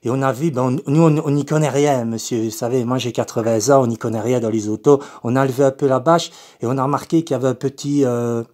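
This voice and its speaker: A man's voice: mid-range voice, steady tone, fast, few pauses, darkish tone, natural voice. A man voicing a confused, polite eighty-year-old woman with a Belgian French accent, hesitant and repetitive, as in a prank phone call. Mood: rambling, earnest.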